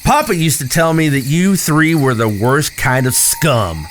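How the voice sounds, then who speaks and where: A man's voice: a little high, expressive, a little slow, a little hiss or hum. An actor playing a foul-mouthed, defiant young boy in a Western audio drama. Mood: blunt.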